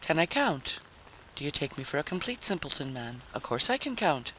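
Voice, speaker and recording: A man voicing a quick-witted, sardonic stranger, light, rapid and playful yet guarded, in a story reading. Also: fairly high pitch, big pitch swings, poor audio. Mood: facetious. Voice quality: light, bright. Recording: faint background noise.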